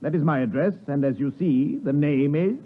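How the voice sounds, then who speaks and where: An actor playing a callous, haughty young British gentleman villain in 1950s radio drama style. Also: a little high, normal speed, lightly acted. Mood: coldly cynical. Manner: cynical, haughty, contemptuous. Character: Edward Hyde, sneering, callous, violent young gentleman villain. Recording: muffled, husky-edged 1950s radio recording.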